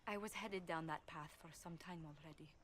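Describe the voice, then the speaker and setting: A woman playing a refined, polite and formal diplomat with a soft heart in a video game. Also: sits low, brisk, a little hiss or hum, close to an everyday voice. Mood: reflective. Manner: polite, formal, easily flustered. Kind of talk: dramatic video game dialogue. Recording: game audio with background ambience.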